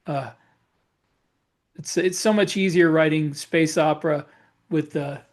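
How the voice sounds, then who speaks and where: A man's voice: on the high side, steady tone, measured pace. A man co-hosting a casual discussion, talking conversationally and feeling his way into each point. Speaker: podcast co-host and author. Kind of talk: casual panel discussion about writing.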